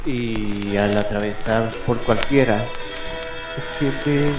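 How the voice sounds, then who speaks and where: A man's voice: lowish voice, slow pace. Man playing solemn late-night radio newscaster, echoey. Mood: solemn, deliberate. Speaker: radio host. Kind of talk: scripted mock radio news narration. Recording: echoey room with music. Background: music.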